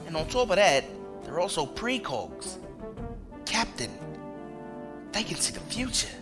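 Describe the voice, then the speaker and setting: A man's voice: sits high, very animated, a little slow, music in the background, heavily acted. A man acting a blunt, loyal spacer in a science fiction audio drama, talking in colloquial, folksy slang. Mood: briefing eagerly. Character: rough-spoken ex-security chief, blunt, loyal male spacer.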